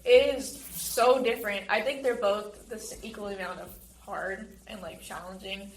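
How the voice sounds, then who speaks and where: A woman's voice: medium pitch, steady tone, moderate pace. Teenage girl chatting in an echoey room. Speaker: student actress. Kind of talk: casual interview answers. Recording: roomy echo, faint background noise.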